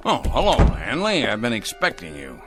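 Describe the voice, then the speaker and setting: Haughty, animated company boss. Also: male voice, mid-range voice, normal speed. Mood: smooth, defensive. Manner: clipped, imperious, condescending. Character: cold, haughty factory boss obsessed with efficiency. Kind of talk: mid-century television drama acting. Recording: old TV soundtrack with music behind.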